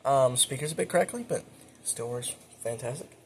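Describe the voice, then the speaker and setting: A man hosting a casual home show-and-tell video, speaking informally and a little haltingly with enthusiastic asides. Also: medium pitch, creaky, background noise. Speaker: hobbyist video creator and host. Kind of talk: casual show-and-tell narration of a radio collection. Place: indoors at home.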